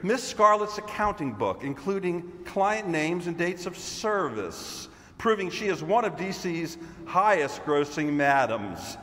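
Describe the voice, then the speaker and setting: Smug fbi guy played by a man auditioning, theatrical and declamatory, highish lively voice, comedy whodunit. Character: smug male FBI agent unmasking himself in a comic mystery. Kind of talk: theatrical stage audition reading. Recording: reverberant hall, faint background noise.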